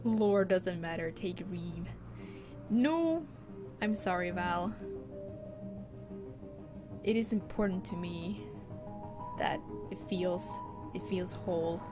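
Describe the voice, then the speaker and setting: A woman's voice: lowish voice, slow pace, long pauses. Finland-Swedish woman musing over gameplay. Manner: thinks aloud, chatty and musing. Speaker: let's play streamer. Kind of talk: casual gameplay commentary. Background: game music.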